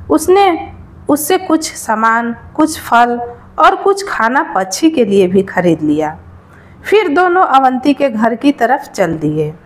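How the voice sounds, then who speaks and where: Lady reading a moral story, high pitched n slow, lots of up and down. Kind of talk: scripted moral story narration in Hindi.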